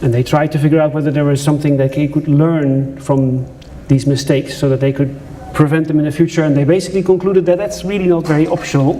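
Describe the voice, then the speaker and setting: A man's voice: on the high side, level tone, quick pace, few pauses, mellow, a little hiss or hum. A man with a Dutch accent giving a conversational conference presentation, with asides to the audience and frequent restarts. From Netherlands. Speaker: conference speaker. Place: lecture room at a conference.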